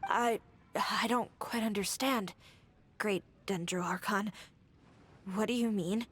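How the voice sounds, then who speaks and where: A woman's voice: medium pitch, slow, a bit bright, breathy edge. Hesitant, wistful young townswoman. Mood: flustered. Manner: hesitant, earnest. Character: wistful young townswoman, polite, emotionally fragile. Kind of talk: video game voice acting.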